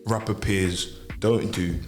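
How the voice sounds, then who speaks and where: Young host, low-ish, energetic slang, echoey studio. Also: male voice, slow pace, slight background noise. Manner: hyped, slangy, repeats for emphasis. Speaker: radio host. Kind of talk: casual interview chat. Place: radio studio.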